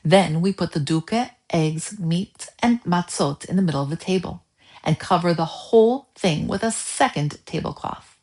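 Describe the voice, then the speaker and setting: A woman's voice: fairly low pitch, normal speed, a little mellow, close to an everyday voice. A proud elderly grandmother in a storybook, voiced by a woman. She explains family customs with fond affection. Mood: fond explaining.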